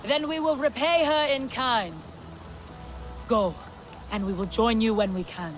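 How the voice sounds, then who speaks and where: A woman's voice: a little high, fairly even intonation, measured pace, soft background music, natural voice. A woman playing a proud, solemn alien clan warrior in a video game. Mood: indignant, resolute.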